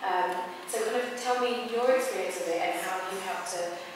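A woman's voice: mid-range voice, a little fast. A woman moderating a panel, chatty and reading out statistics. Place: large hall with audience. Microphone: far room camera. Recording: echoey hall from far off, noisy.

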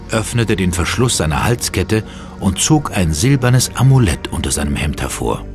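A man narrating a horror audio drama in a dramatic, scripted storytelling style. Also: deep voice.

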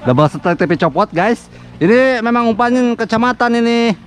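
A man in his thirties with a Sundanese accent, giving excited live commentary while fishing outdoors at a pond, often laughing and yelping. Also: extremely high pitch, normal speed, faint music behind. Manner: excitable, laughing, yelps when fish bite. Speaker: fishing vlogger.

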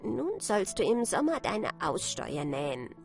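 A woman voicing a bossy, scolding female field mouse in a storybook, brisk and insistent. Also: mid-range voice, sing-song, gravelly, faint music behind.

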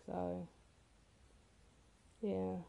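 A teenage girl with a rough, hoarse voice, casually narrating her own speed painting in a chatty, self-deprecating way. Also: on the low side, monotone, extremely slow, warm tone. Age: teens. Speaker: art vlogger. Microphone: camera mic.

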